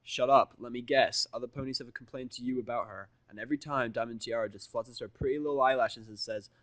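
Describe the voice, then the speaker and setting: A man's voice: medium pitch, steady tone, fast talker, a bit bright, no echo, lightly acted. A man playing a defiant, foul-mouthed human who bluffs and scolds in a brash, sarcastic, confrontational way in an audio story. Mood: angry.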